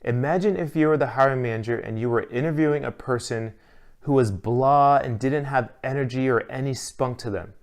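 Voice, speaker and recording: A man working as an instructor, delivering scripted instructional narration in a clear, measured, advisory manner. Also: medium pitch.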